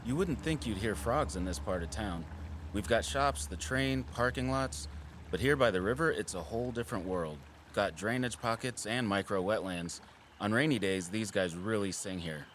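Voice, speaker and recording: An actor playing an earnest, caring male wildlife surveyor in an audio drama, casual, sincere and expressive. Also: on the low side, normal speed, a little hiss or hum, lightly acted. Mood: eager, delighted. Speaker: habitat surveyor.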